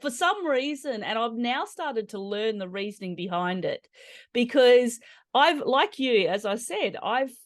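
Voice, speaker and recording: A woman's voice: on the high side, big pitch swings, a little slow, dry recording, clean studio sound. A woman hosting a podcast interview, empathetic and sharing her own experience in conversation. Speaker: podcast host.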